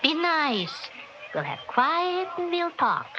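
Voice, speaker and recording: A woman's voice: very high voice, big pitch swings, takes it slowly, exaggerated voice. A woman playing a meddling, talkative older mother-in-law with a New York accent in a 1960s radio drama, kvetching in rhetorical questions. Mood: coaxing, bossy. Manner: kvetching, rhetorical questions, inverted Yiddish-style syntax. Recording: muffled, hissy old radio recording.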